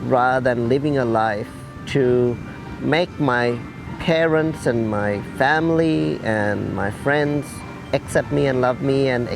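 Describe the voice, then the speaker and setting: A middle-aged man from Sri Lanka speaks in a measured, deliberate way as he shares his own story. His voice has a mid pitch and a gravelly, creaky texture. Soft music plays faintly behind him. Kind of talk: documentary interview testimony.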